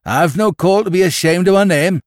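A man's voice: high-pitched, a little fast, no echo, clean studio sound, pitched above the performer's own voice, natural voice. A man voicing a surly, ferocious middle-aged Yorkshire farmer in an audiobook, with a harsh growl and a curt, threatening manner.

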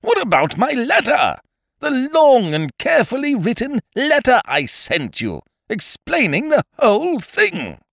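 A man voicing a pompous, indignant chancer in an audiobook, loud and emphatic, stammering, with old-fashioned British slang. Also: low voice, huge pitch swings, moderate pace, no room sound, clean studio sound, full character voice. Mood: indignant, outraged.

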